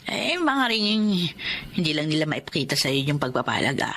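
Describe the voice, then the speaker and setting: An actress playing a calm, wise friend who consoles in a radio drama. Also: female voice, deep voice, fairly quick, slight background noise. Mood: consoling. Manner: calm, counseling.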